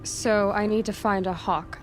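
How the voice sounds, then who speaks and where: Female actor as determined outsider huntress, medium pitch, firm, direct, defiant of rank, video game cutscene. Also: steady tone, unhurried, soft background music, lightly acted. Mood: wary, determined.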